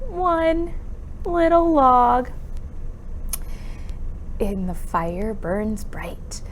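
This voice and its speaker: Slow, animated woman leading children's storytime. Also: medium pitch, a little hiss or hum. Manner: animated, exaggerated exclamations and questions to young children. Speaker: children's library storytime presenter. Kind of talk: interactive children's storytime with counting songs.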